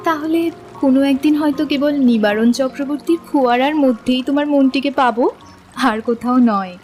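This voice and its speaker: An actress as a young woman in love in an audio drama, clear-sighted and wistful. Her voice is high, with gentle, reflective phrasing, over faint music. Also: moderate pace, close to an everyday voice. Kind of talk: literary audio drama acting.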